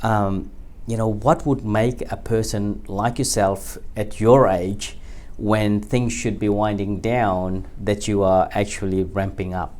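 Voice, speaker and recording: A man's voice: on the low side, fairly even intonation, takes it slowly, hardly any pauses, darkish tone. A man hosting an interview in a friendly, respectful, chatty way. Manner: friendly, respectful, chatty, calls guest uncle. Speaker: interview host. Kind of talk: casual interview.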